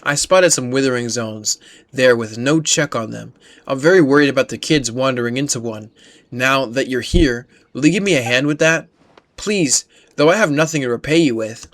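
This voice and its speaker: A man's voice: mid-range voice, normal speed, fairly bright tone, pitched above the performer's own voice, lightly acted. A man reading game dialogue as a caring, worried young patrol woman, earnest and polite. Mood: worried, requesting.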